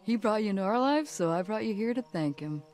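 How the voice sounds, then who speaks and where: A woman's voice: medium pitch, lively intonation, a little fast, darkish tone, natural voice. A woman voicing a smug, laid-back hippie cult leader in a cartoon game, slangy and preachy. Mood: preachy, passive-aggressive. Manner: slangy, preachy, faux-chill. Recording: music behind the voice.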